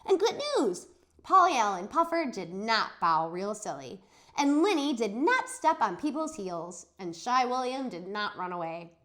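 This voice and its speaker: A woman teacher giving an expressive read-aloud of a children's story, acting out the dialogue. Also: fairly high pitch. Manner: animated, dramatizes dialogue. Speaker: teacher reading a chapter aloud for students.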